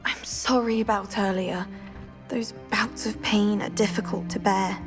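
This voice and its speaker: An actress playing a gentle, ailing but brave teenage witch in a video game, friendly and reassuring. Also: mid-range voice, fairly even intonation, a little slow, music in the background, close to an everyday voice.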